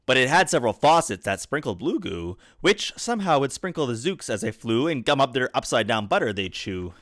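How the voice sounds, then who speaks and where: A man with a medium-pitched voice reads a children's story aloud. His phrasing is expressive and theatrical, riding the rhymes. The sound is dry, picked up on a desktop microphone. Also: a little fast, dry recording. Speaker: father reading a children's book aloud. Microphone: USB desktop microphone.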